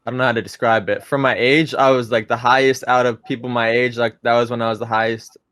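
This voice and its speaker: A man's voice: medium pitch, fast, no room sound. A young man in his twenties talks casually as a podcast guest. He rambles a bit, restarting his sentences as he goes. The sound is close and dry. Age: 20s. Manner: relaxed, rambling, with frequent restarts and fillers.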